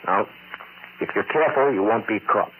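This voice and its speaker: An actor playing a deranged, menacing psychiatrist in a 1940s radio mystery, halting and insinuating, on a noisy old recording. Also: male voice, lowish voice, narrow, tinny audio, close to an everyday voice. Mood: coolly persuasive. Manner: halting, insinuating, given to sinister chuckling. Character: deranged, scheming male psychiatrist, menacing and gloating.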